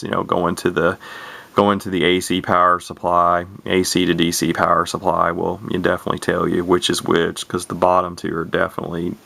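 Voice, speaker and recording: A man's voice: low-pitched, creaky, sharp tone, dry recording. A man giving a casual, unscripted product walkthrough as a hobbyist reviewer, rambling a little and repeating himself. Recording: noisy amateur camcorder audio.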